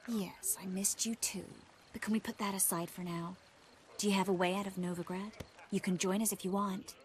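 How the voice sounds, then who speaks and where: Game casting: actress as young sorceress, medium-pitched, earnest, kind, calm and reassuring. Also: female voice, normal speed, a little hiss or hum, lightly acted. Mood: guarded, earnest. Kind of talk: video game character acting.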